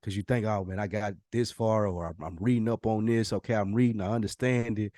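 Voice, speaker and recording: A man speaks as a podcast co-host in an easygoing conversational style. His voice sits a little low, and he talks candidly in loose, slangy phrases. The recording is dry, with very little room around him. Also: fairly even intonation, fairly quick, warm tone, no echo. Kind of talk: casual chat.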